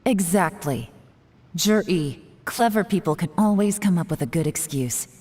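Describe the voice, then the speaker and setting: Low, cool female agent, reverberant. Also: lively intonation, darkish tone. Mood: cool, accusing. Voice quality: low-pitched. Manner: measured, coolly taunting, controlled. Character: cool, calculating female intelligence agent, mocking and self-assured. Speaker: video game character. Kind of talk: scripted game voice acting. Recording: long reverb, faint music behind.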